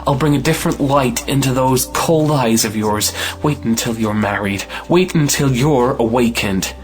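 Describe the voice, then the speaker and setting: A man's voice: mid-range voice, moderate pace, soft background music, natural voice. A man playing a hot-blooded, possessive suitor in an audio play, passionate and melodramatic.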